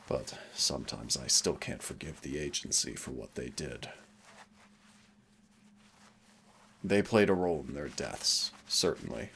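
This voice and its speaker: A man playing a centuries-old demon hunter, teasing and rebellious yet wistful and protective, in an intimate audio roleplay with a gravelly voice. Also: sing-song, normal speed, a bit bright, slight background noise, exaggerated voice. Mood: grieving, bitter. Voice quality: very low, gravelly creaky voice. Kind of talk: intimate second-person audio roleplay acting.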